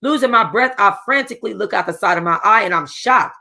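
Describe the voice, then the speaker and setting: Bright-voiced woman reading a novel aloud. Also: mid-range voice, quick pace, vocal fry. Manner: casual, expressive reading with a streetwise voice. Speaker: book club reader. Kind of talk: first-person novel read-aloud.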